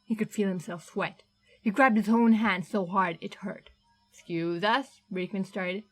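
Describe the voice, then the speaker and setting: A woman reading fan fiction aloud as a podfic in steady, even narration. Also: mid-range voice, normal speed, husky, rough recording. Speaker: podfic reader.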